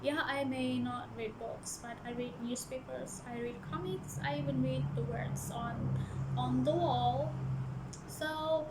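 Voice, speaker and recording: A woman's voice: high-pitched, fairly even intonation, measured pace, heavy background noise. A young woman with a Malaysian accent telling a personal story to the camera as a book vlogger, earnest and hesitant, often restarting her sentences. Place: church office.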